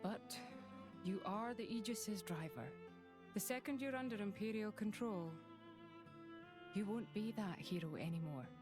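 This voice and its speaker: A woman's voice: medium pitch, unhurried, long pauses, over music, close to an everyday voice. An actress playing a proud, coolly confident military woman in a video game, formal and guarded in manner. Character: proud, coolly confident female Imperial warrior. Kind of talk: video game cutscene voice acting.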